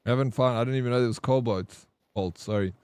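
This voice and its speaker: A young man with a Johannesburg South African accent, live-streaming a game and chatting excitedly with his chat in slangy, casual talk. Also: medium pitch, fast, clean tone, no echo.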